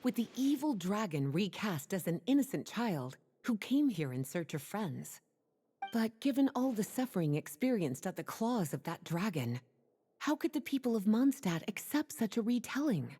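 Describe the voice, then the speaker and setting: A woman voicing a poised, wry librarian in a video game who speaks in a languid, gently teasing way. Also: mid-range voice, sing-song, normal speed, a little hiss or hum, lightly acted. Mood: explanatory. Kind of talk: game voice acting. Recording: soft game music underneath.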